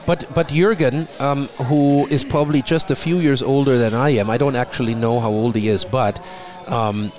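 A middle-aged man from Germany with a German accent, talking in a relaxed conversation and often repeating a word as he starts a thought. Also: medium pitch, normal speed. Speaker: guest. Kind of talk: casual conversation.

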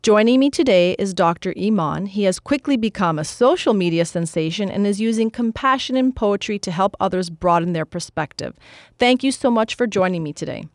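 A woman's voice: medium pitch, expressive, brisk, dry recording, clean studio sound. A woman hosting a radio health show, reading a scripted intro and asking interview questions.

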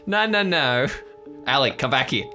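Officious local policeman, played by a male game master, condescending, halting, forceful edge. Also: medium pitch, animated, soft background music, voice pushed up. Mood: officious, flustered. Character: gruff, bossy small-town policeman, dismissive and officious.

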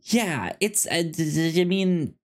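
A man co-hosting a podcast, chatting casually about television in a halting, stammering way that often repeats words. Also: fairly high pitch. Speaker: podcast host. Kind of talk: casual TV review chat.

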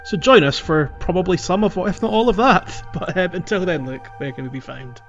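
A man's voice: high-pitched, fairly quick, muffled recording. Man hosting, with prominent music behind. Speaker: podcast host. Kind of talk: casual gaming podcast chat.

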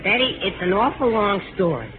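A performer playing a droll, evasive teenage boy, a hurried sidekick in a 1940s radio comedy. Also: mid-range voice, big pitch swings, a crowd in the background, natural voice. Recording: tinny, noisy old-time radio recording.

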